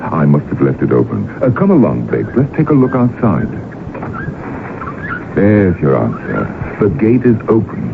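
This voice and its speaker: Old, noisy radio sound carries an urbane gentleman artist. His low voice swoops very widely in pitch, yet the manner stays calm and understated, in radio play style. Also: normal speed, gravelly, exaggerated voice. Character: composed, gentlemanly portrait painter. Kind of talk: old-time radio drama acting. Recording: noisy, narrow-band old radio broadcast recording.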